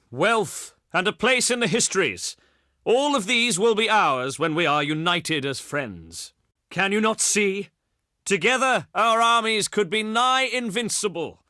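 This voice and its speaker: Casting: proud Greek envoy for a strategy game, theatrical and oratorical, bright forceful tone, sweeping intonation. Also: male voice, high voice, no room sound, clean studio sound, exaggerated voice. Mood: persuasive. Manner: pompous, oratorical, declaiming in ornate phrases. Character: proud ancient Greek diplomat, eloquent, scornful, theatrical man. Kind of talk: theatrical video game diplomacy voice lines.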